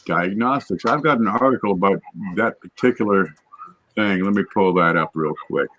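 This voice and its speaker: A man's voice: fairly low pitch, normal speed, fairly bright tone, dry recording. A man hosting a casual panel Q&A. He reads viewer questions aloud and explains things in a chatty way, with fillers and restarts. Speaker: show host.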